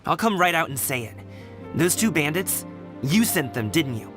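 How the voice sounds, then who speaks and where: A man's voice: on the high side, sing-song, normal speed, over music. An actor playing an earnest young man at odds with his father, who sounds a little weary and sighs often. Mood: confident. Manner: earnest, a bit weary, sighing. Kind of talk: game voice acting.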